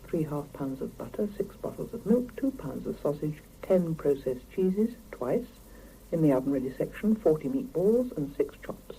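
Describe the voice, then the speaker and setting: A woman's voice: deep voice, big pitch swings, moderate pace, creaky, dark tone, exaggerated voice. An actress playing a weary, wry woman who narrates her own day in clipped phrases in a 1970s radio drama. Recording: faintly noisy 1975 radio cassette recording.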